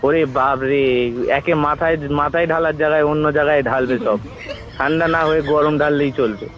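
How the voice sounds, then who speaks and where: Male performer as Shiva, bossy, indignant, teasing god on a comic prank call; highish voice, muffled phone audio. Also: steady tone, fairly bright tone, slight background noise, lightly acted. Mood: solemn, bossy. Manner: mock-grand, teasing, scolding, drawn-out words. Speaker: prank caller.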